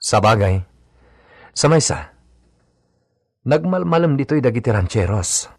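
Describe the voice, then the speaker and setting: A man's voice: medium pitch, big pitch swings, measured pace, vocal fry, slightly rough, no room sound, studio quality, heavily acted. A man playing a serious, concerned member of a ranching household in a radio drama.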